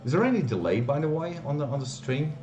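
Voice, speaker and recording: A man from the Flemish part of Belgium, a native Dutch speaker with a Flemish accent, walking through software on a casual live stream and hesitating often with fillers and restarts. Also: a little high, very animated, quick pace, reverberant, music in the background.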